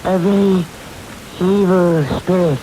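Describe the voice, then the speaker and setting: An actress playing a grave spirit medium who gives solemn, ominous warnings in an old-time radio drama. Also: female voice, fairly low pitch, steady tone, slow, warm tone. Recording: noisy vintage radio broadcast.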